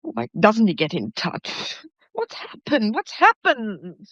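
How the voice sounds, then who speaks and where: An actress playing an overwrought, nervy English wife in a radio mystery drama, frantic and halting. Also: female voice, medium pitch, wildly swooping pitch, a bit bright, dry recording, heavily acted. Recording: dull, muffled lo-fi audio.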